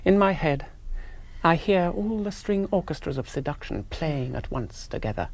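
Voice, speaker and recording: An actor playing an earnest, priggish young rationalist hero, narrating a radio drama in precise, fastidious literary phrases. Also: male voice, a little high, expressive, darkish tone. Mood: wry, rueful.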